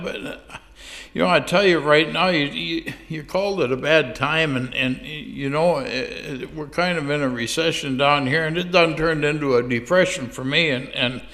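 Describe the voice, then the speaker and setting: A man's voice: a little high, normal speed, vocal fry, fairly bright tone. Man doing stammering churchgoer. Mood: sheepish excuses. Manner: stammering, hesitant, with many restarts. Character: sheepish churchgoing man making excuses to God. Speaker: church speaker. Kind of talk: comic phone-call monologue.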